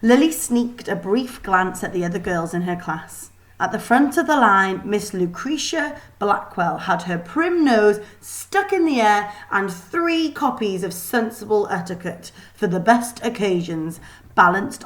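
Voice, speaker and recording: A woman reads a children's novel aloud as a storyteller. Her pitch is mid-range, and her delivery is deliberate and expressive, with emphasis on dramatic words. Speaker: teacher reading a book aloud.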